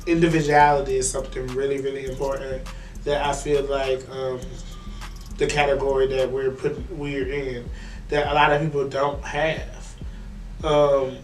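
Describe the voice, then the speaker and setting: A young man interviewing a musician in a relaxed, chatty way with many fillers. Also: medium pitch, moderate pace. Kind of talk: casual interview chat. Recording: echoey room, distant mic, faint music.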